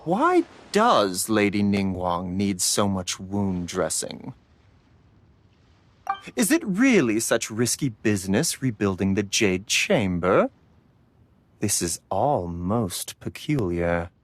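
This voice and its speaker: Courteous pharmacist with very swooping intonation. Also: male voice, lowish voice, big pitch swings, slow pace, a little hiss or hum. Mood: puzzled. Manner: polite, formal, musing. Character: courteous, soft-mannered male pharmacist, scholarly and discreet. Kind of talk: video game voice acting.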